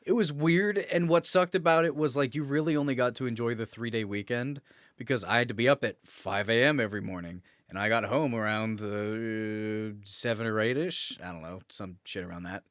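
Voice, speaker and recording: A man's voice: mid-range voice, normal speed, a bit bright, dry recording, clean studio sound. A man talking casually as a podcast co-host, informal and mildly profane as he swaps stories. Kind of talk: casual chat, swapping work stories.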